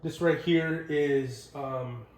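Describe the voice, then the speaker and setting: A man's voice: fairly high pitch, steady tone, extremely slow, darkish tone. Man giving an informal walkthrough, echoey room. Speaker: presenter of a military radio equipment walkthrough. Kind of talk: informal instructional demonstration, unscripted. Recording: roomy, echoing sound.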